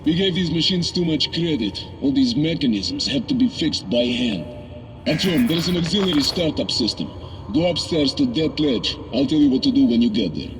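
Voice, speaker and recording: Echoing, gruff squad commander played by a man. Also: mid-range voice, lively intonation, normal speed, distant mic, natural voice. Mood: grim, practical. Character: gruff commanding male military squad leader in game. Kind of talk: video game cutscene acting. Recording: echoey large hall, music behind.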